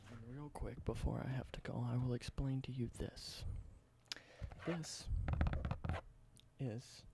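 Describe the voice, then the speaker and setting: Gravelly-voiced man in soft whispers. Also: steady tone. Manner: soft whisper slipping into low spoken voice, unhurried. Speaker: ASMR creator. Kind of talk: whispered ASMR roleplay showing objects. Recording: noticeable background hiss.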